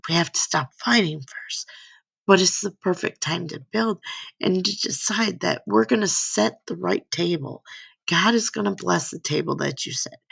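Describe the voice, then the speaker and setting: An older woman speaks in a hoarse, gravelly voice with a husky edge. She gives a rambling, earnest faith-based motivational talk and keeps checking in with "right?" and "you know?". The sound is dry and close. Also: lowish voice, no echo. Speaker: speaker giving a slide talk.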